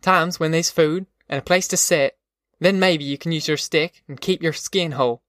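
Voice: medium pitch, normal speed, a bit bright, dry recording, clean studio sound, natural voice. A narrator voicing a tough, determined middle-aged farm mother in an audiobook, with blunt, folksy rustic speech. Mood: defiant, scolding. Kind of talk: audiobook character voice.

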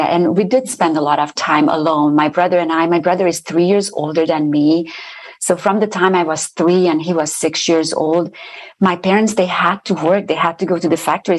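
A woman's voice: low-pitched, low-quality recording. A woman from Romania giving an earnest faith testimony as an interview guest. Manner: earnest, steady storytelling.

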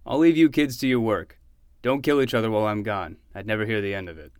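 A man's voice: lowish voice, fast talker, clean tone, no echo, studio quality, close to an everyday voice. A man playing an easygoing uncle with a wry, casual manner in a fiction podcast. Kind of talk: conversational fiction podcast acting.

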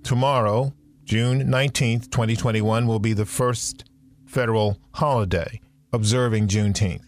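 A man hosting a talk podcast, his voice at an ordinary middle pitch. He speaks in a measured, deliberate way, with soft music under him. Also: steady tone. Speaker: podcast host.

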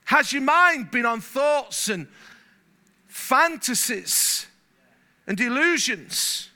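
Man, preacher, very high voice, piercing bright tone, animated, from england. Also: very slow. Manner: animated, gets louder when stirred, repeats words. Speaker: pastor. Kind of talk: sermon. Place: church hall.

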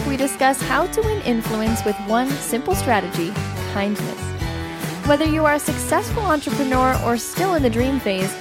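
A woman reading a polished, scripted podcast intro as announcer. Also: sits high. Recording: voice-over over loud music.

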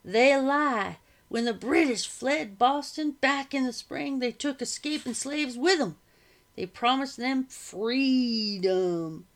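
A woman's voice: high-pitched, takes it slowly, voice pushed up. A woman voicing an angry, bitter working man in a storybook reading, emphatic and stretching out key words.

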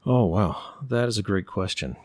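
A middle-aged man from the United States, telling stories in an unhurried, thoughtful way and pausing to find his words. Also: fairly low pitch, lively intonation, a little mellow, dry recording, studio quality. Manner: unhurried, thoughtful, often pauses to search for words. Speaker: interview guest. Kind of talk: relaxed conversational storytelling.

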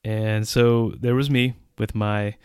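A man's voice: lowish voice, flat delivery, slow, clean tone, dry recording, clean studio sound. A middle-aged man hosting a podcast, telling personal stories in an easygoing, self-deprecating way with frequent chuckles. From English-speaking country. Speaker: podcast host. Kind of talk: personal storytelling monologue.

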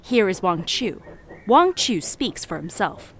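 A woman plays a worldly merchant storyteller, addressing the listener in a radio play. She is sly and teasing, her mid-pitched voice highly animated over faint ambience. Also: slow, a little hiss or hum. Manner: sly, confiding, theatrical. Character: worldly, teasing female treasure merchant narrating. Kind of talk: audio drama narration to the listener.

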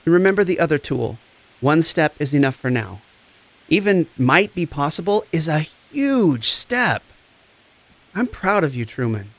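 An actor playing a gentle, encouraging empath therapist, speaking in a reassuring, deliberate way in a scripted audio drama. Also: male voice, fairly high pitch, sing-song, exaggerated voice. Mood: calm, supportive. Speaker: actor in a fiction podcast.

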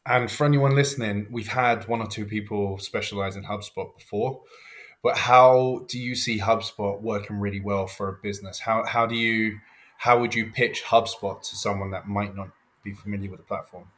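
Low-voiced male podcast host. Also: steady tone, normal speed, a bit bright. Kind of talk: podcast interview.

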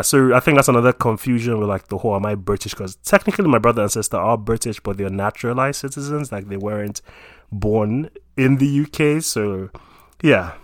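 A man's voice: lowish voice, no room sound, clean studio sound. Rambling young man from Nigeria. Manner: relaxed, rambling with many fillers. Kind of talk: casual chat about himself.

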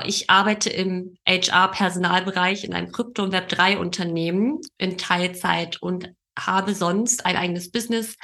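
Female podcast host, thirties, lower-pitched but bright, casual conversational delivery. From Berlin, Germany. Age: 30s.

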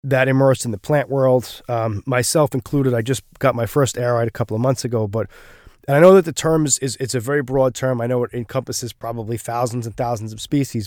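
Man with a slightly gravelly voice. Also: medium pitch, darkish tone, no room sound, clean studio sound. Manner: frequent fillers and restarts, friendly. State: gravelly voice from feeling unwell. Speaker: podcast host. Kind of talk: casual podcast chat.

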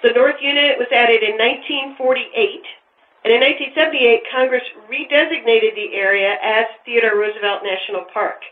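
Woman, slightly high pitch, formal prepared delivery, precise interviewee, thin telephone-like audio. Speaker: park official being interviewed. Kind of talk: prepared, factual interview answers. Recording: thin phone-like line.

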